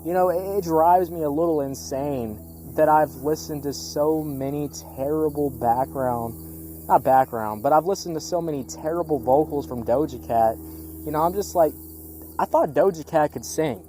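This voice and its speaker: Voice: medium pitch, normal speed, mellow, slight background noise. A music critic giving a casual, opinionated album review, speaking bluntly and repeating phrases for emphasis. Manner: casual swearing.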